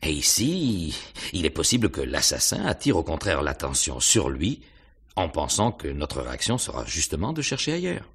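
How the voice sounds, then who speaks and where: Very deep, husky male police inspector. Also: big pitch swings, a bit bright, full character voice. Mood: musing. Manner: hesitant, musing questioning with hums and pauses. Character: male police inspector, probing, pensive, methodical. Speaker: actor in a radio mystery. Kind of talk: French radio mystery drama acting.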